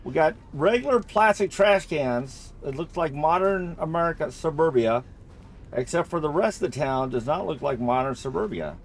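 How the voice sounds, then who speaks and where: Excitable high-pitched man commentating on a game. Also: moderate pace, slight background noise. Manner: excitable, chatty, thinking aloud. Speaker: gamer recording a play-through. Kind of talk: casual gameplay commentary.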